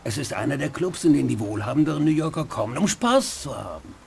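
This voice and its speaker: An actor playing a smug, worldly nightclub owner in a dubbed detective game, with a condescending, drawling manner. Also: male voice, mid-range voice, animated, fast talker, gravelly, a little mellow, a little hiss or hum. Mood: mocking, worldly.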